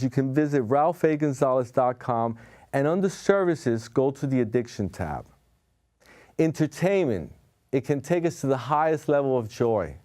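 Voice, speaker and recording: Man hosting a local talk show. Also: medium pitch. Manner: slow dramatic delivery in the intro, then relaxed questioning. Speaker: host, hypnotherapist.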